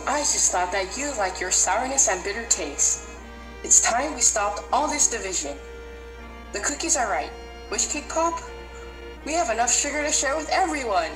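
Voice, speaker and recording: A woman playing a concerned, fair school principal cookie in a children's radio play, expressive and emphatic. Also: fairly high pitch, moderate pace, music in the background, natural voice, rough recording. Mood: conciliatory.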